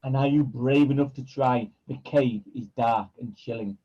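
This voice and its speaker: An actor playing a scheming pantomime villain who poses as a kindly uncle, speaking in theatrical, wheedling rhyme. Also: male voice, medium pitch, moderate pace, lo-fi sound, lightly acted. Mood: persuasive, dramatic. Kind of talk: rhyming pantomime acting.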